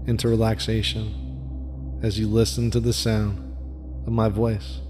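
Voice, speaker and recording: A man leading a guided meditation in a soft, soothing voice with a slow, repetitive cadence. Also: on the low side. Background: faint music with gong.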